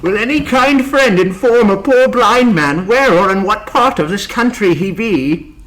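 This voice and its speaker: A man puts on a blind pirate villain for a stage adventure. The voice sits fairly high for a man, wheedling and mock-polite, with deliberate, theatrical menace. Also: lively intonation, fairly bright tone, low-quality recording.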